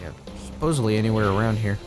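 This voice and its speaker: A man's voice: on the low side, rapid-fire, music in the background. Chatty man getting over a cold. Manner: chatty, rambling, self-correcting. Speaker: let's play gamer. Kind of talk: casual gameplay commentary.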